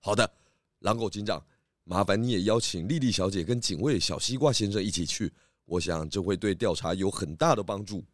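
A man playing a shrewd pig detective in a children's audio drama, speaking in a deliberate, theatrical sleuthing way. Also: fairly low pitch, dry recording, studio quality, lightly acted. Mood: confident, scheming. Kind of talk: children's radio drama acting. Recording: music behind the voice.

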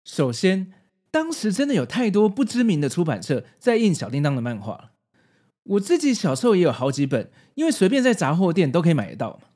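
Lively Taiwanese man narrating, higher-pitched. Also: fairly quick. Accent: Taiwanese Mandarin. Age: 40s. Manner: explanatory, nostalgic. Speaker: host. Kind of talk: scripted video essay narration with casual asides.

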